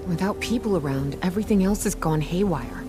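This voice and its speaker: Woman acting young female wasteland treasure hunter, lowish voice, casual, earnest, hopeful, video game dialogue, clear music behind. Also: expressive, a little fast, natural voice. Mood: frank, helpful.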